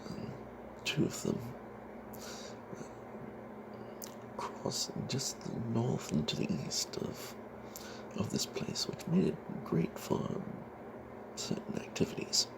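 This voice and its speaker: A man narrating a first-person fantasy story as ASMR in a breathy whisper, hushed and halting, with wry asides. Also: mid-range voice, big pitch swings, low-quality recording. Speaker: storyteller narrating own tabletop character. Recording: noticeable background noise.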